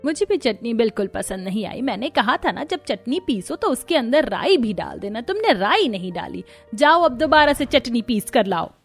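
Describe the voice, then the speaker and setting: A woman's voice: on the high side, sing-song, normal speed, faint music behind. Actress as a domineering, scornful mother-in-law. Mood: fault-finding. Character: domineering, fault-finding middle-aged mother-in-law, scornful. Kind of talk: Hindi animated family story dialogue.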